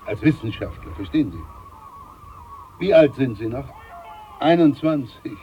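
A man plays a self-important older ship's doctor in a radio drama. He is jovial and scheming, talking emphatically and repeating himself, in a middle-pitched voice on a slightly noisy, dull recording. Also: lively intonation, unhurried, lightly acted. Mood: confiding, self-important. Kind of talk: theatrical German radio play acting.

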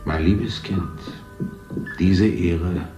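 A proud, deep-voiced city ruler. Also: male voice, fairly even intonation, drawn-out words, roomy sound, music in the background. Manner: smooth, lecturing, condescending. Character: ruler of a future city, older man, cold and proud. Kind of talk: 1970s radio play acting.

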